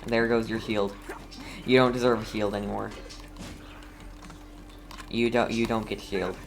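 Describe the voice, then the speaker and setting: A game streamer with a mid-low voice chats casually at a slow pace. The delivery is playful and mock-scolding, with game music clearly behind. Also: flat delivery. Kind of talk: casual gameplay commentary.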